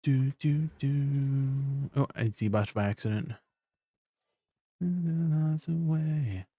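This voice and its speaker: A man's voice: medium pitch, drawn-out words, warm tone, no room sound. A man who grew up in Canada and whose first language is Tagalog, live-streaming a game. He chats casually, laughs often, and explains controls with plenty of ums. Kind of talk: casual live-stream chat and gameplay tips.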